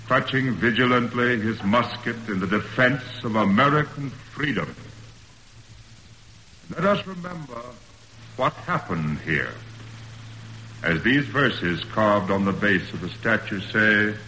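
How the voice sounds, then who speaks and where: An actor playing a solemn orator at a ceremony, declaiming slowly in 1940s radio style. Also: male voice, lowish voice, expressive, bright, forceful tone, full character voice. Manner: slow, declamatory. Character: solemn orator at a statue unveiling, reciting verse. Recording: old radio recording, long hall reverb, hiss.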